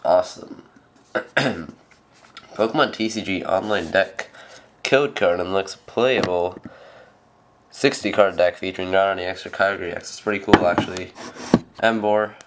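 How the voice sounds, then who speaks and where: A man's voice: low-pitched, normal speed, long pauses. A teenage boy hosting a card pack opening with a stuffed nose from a cold, rambling with lots of fillers. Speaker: channel host. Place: home room at a table. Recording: noisy home camera audio.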